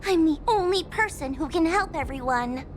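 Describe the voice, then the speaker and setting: A woman's voice: extremely high pitch, animated, normal speed, slight background noise. A woman voicing a timid, easily frightened young girl in a video game, anxious and hesitant in manner. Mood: flustered, self-doubting. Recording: game music behind the voice.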